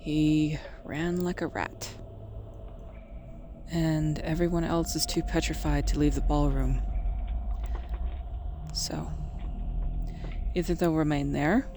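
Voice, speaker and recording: A woman playing a calm, caring, quietly wry witch in an ASMR roleplay, speaking softly and in a measured, soothing way. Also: deep voice, a little hiss or hum. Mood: dry contempt. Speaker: voice actress. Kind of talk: ASMR roleplay acting addressed to the listener.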